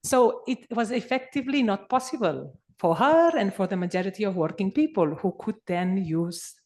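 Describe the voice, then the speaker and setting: A woman's voice: mid-range voice, lively intonation, normal speed, a little mellow. A woman in her forties from Barcelona, Spain, with a Spanish accent, speaking up in a conference discussion in a hesitant way, with many fillers and restarts. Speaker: conference participant. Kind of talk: spontaneous discussion contribution.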